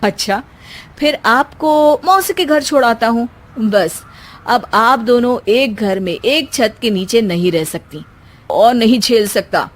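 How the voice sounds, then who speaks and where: A woman's voice: a little high, lively intonation, slow pace. Woman playing an exasperated husband, scolding and sarcastic. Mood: firm. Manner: weary, reproachful scolding.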